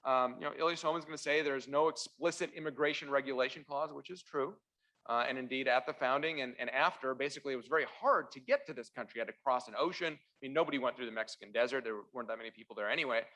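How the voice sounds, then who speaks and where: Wry, halting man giving debate remarks. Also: mid-range voice, bright, forceful tone, low-quality recording. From born in Russia, raised in central Ontario, Canada. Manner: hesitant, heavy with "uh" fillers and restarts, dry wry asides. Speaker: constitutional lawyer, debater. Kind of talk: opening remarks at a university debate.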